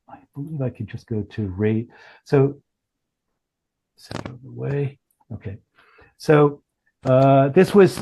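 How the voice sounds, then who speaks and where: A man explaining a product demo as a company founder, in a halting, self-correcting way with many broken starts. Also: fairly low pitch, mellow, poor audio. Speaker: company founder and chief executive.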